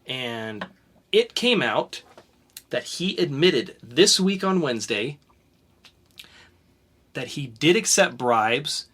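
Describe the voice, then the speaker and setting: A young man riffs through the week's news as a podcast co-host, his voice bright and forward. He talks loosely, with ums and restarts, and rushes ahead when something excites him. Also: medium pitch, slightly rough. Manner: chatty, with fillers and bursts of speed. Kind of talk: casual news chat.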